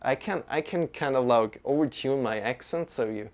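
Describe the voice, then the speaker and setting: A man's voice: mid-range voice, moderate pace. A young man with a Swiss accent, hosting a live-streamed yoga class and greeting viewers in a loose, friendly way with frequent restarts. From Switzerland.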